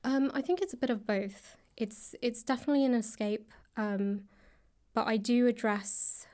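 A woman giving thoughtful, conversational interview answers, pausing often and a little hesitantly between phrases. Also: a little high, warm tone. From grew up in the UK and India. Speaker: guest, author and actor. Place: indoors, upper floor of a public library.

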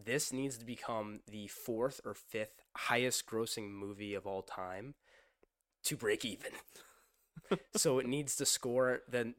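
Slightly hoarse young man, chatty podcaster. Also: medium pitch, fairly bright tone, no echo, clean studio sound. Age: young adult. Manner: chatty, joking, asks his co-host questions. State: slightly hoarse from a sore throat. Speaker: podcast co-host. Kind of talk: casual podcast chat.

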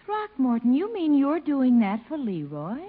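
An actress playing a coy, flirtatious American sweetheart in a 1950s radio situation comedy, with theatrical cooing. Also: female voice, sits high, wildly swooping pitch, a little slow, breathy edge, heavily acted. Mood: indignant, teasing. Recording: thin, phone-like 1950 radio broadcast.

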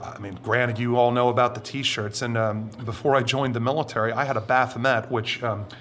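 A middle-aged man with a deep voice, answering interview questions in a deliberate, explanatory way with drawn-out emphatic phrases. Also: little pitch movement, fairly bright tone, a little hiss or hum. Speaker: interview guest.

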